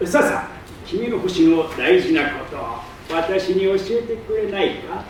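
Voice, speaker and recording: A man playing a pompous, eager old geographer in a theatrical staged reading, with drawn-out, emphatic pronouncements. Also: high-pitched, expressive. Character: pompous, eager old male geographer, self-styled scholar. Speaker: stage reader. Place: public hall stage. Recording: distant mic in echoey hall, faint noise.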